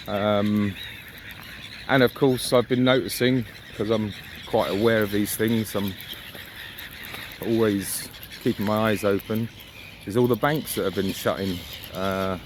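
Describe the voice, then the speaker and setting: A man giving a casual, reflective walking monologue as a vlogger, musing and posing rhetorical questions. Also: on the low side. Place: outdoors on a riverside walk. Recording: outdoor ambient noise, birds and traffic.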